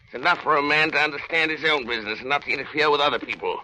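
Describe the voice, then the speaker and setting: A man's voice: a little high, very fast, pushed and bright. An actor playing a miserly, scornful old businessman in 1930s radio drama, curt and grumbling. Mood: irritable, barking. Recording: noisy vintage 1930s radio broadcast.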